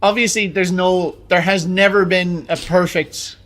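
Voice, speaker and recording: High-voiced man in casual podcast chat. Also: fairly even intonation, measured pace, fairly bright tone. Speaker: podcast co-host. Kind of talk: casual chat about films and games.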